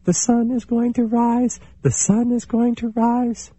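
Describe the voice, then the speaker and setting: A man voicing a small, boastful male chipmunk who mocks and then flatters, in a high squeaky voice. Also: a little slow, breathy edge, dry recording, exaggerated voice, poor audio. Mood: taunting.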